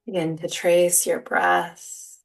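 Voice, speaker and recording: A woman leading a guided relaxation as a yoga teacher, speaking in a soothing, gentle, unhurried manner. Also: fairly low pitch, level tone, vocal fry. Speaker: yoga nidra meditation guide. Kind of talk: guided meditation, soft spoken instruction. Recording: distant mic, music behind. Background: soft music or sound bowls.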